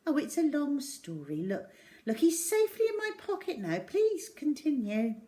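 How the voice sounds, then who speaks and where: A woman's voice: sits high, wildly swooping pitch, normal speed, mellow, pitched above the performer's own voice. A woman voicing a cheeky young schoolgirl in a storybook, defiant, with a casual air of feigned innocence. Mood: defensive.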